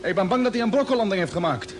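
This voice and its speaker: High-voiced, serious space captain. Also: male voice, expressive, rapid-fire. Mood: grave. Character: grave spaceship captain reporting by radio. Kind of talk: radio play acting. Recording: noisy, vehicle drone behind.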